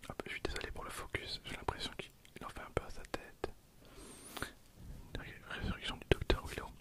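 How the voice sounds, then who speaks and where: A man in his twenties whispering for an ASMR video, soft and hesitant with frequent fillers, speaking close to a recorder. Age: 20s. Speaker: ASMR creator. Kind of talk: whispered ASMR card opening. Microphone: handheld recorder behind the camera.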